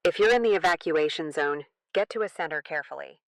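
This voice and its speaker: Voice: medium pitch, fast, vocal fry, heavy background noise, lightly acted. A performer playing a radio broadcaster who stays on air amid a deadly outbreak, reading scripted emergency reports. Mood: tense, resolute.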